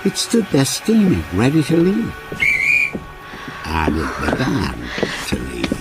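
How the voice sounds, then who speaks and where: Lively, courteous ghost-story narrator, noisy backdrop. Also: male voice, mid-range voice, slow pace, heavily acted. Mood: suspenseful. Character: courteous, softly insistent male storyteller and train passenger.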